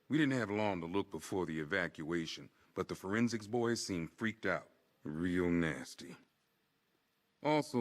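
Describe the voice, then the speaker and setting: A man playing a plain-spoken police officer giving a briefing, informal and matter-of-fact, in an amateur video game fan dub. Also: sits low.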